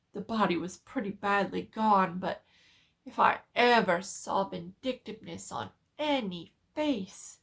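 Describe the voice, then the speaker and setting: A woman plays a horrified country doctor in a gothic horror tale. He is agitated and emphatic, exclaiming in dread as he urgently berates his patient, with a creaky edge to the voice. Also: on the high side, pitched above the performer's own voice. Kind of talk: dramatic horror story reading.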